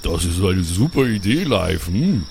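Man playing a big, jovial, low-voiced drinking buddy. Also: very animated, measured pace, gravelly, darkish tone, slight background noise, full character voice. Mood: hearty. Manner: coaxing, chummy, tags sentences with questioning hm. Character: big burly jovial festival drinking buddy, hearty male.

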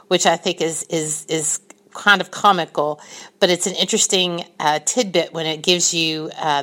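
Low-voiced woman recommending a book. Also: a bit bright. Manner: earnest, with small restarts. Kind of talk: reading a prepared recommendation.

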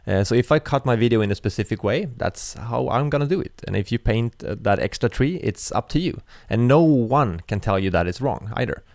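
A man's voice: mid-range voice, expressive, a little fast, creaky, hardly any pauses, darkish tone, no room sound. Male interviewer, inquisitive and casual, dry close recording. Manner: curious, probing questions with casual fillers.

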